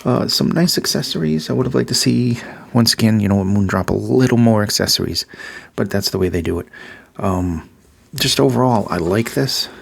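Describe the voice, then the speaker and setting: A man with a Massachusetts accent talks through a casual gear review. His voice sits very low and has a gravelly edge. He fills his pauses with ums and uhs and repeats his words of praise.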